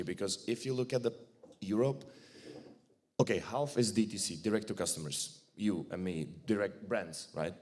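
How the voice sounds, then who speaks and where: A man with a Czech accent giving a joking, blunt conference talk, with frequent restarts and a fairly low voice. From Czech Republic. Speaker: conference speaker. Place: conference hall.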